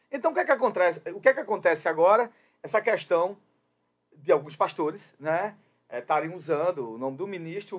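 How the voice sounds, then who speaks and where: A man from Brazil giving opinion commentary on his show, hesitant with many fillers at first, then emphatic and insistent. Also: on the high side. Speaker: commentator on a radio or web show.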